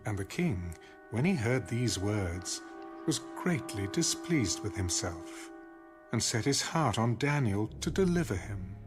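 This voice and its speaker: A man giving solemn, deliberate scripted narration of scripture in a dramatized audio style. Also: on the low side, very animated, over music.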